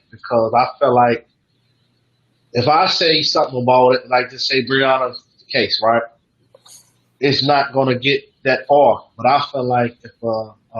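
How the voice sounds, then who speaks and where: Halting, reflective male panelist, slightly dull audio. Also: medium pitch, a bit bright. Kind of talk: roundtable discussion.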